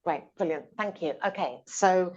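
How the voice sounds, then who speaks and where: A woman's voice: fairly low pitch, moderate pace, a little mellow. A woman chairing a panel seminar, moderating audience questions with effusive praise. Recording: slightly dull conference audio.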